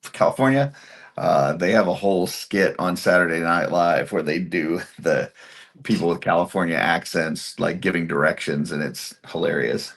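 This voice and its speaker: A man hosting a live painting stream, reading viewer comments aloud in a relaxed, casual way. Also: low-pitched, normal speed.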